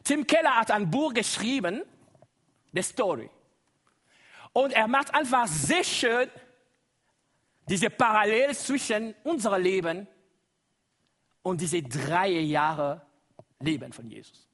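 High, lively Congolese preacher, man. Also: slow, long pauses. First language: Lingala. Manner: lively, exclaims and laughs often, asks the congregation to repeat. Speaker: guest preacher. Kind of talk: sermon.

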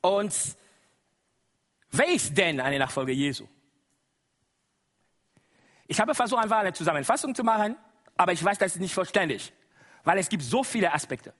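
Brief: Congolese-accented male preacher, middle-aged, high voice, very animated sermon delivery. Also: moderate pace, long pauses. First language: Lingala. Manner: lively, exclaims and laughs often, asks the congregation to repeat. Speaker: guest preacher.

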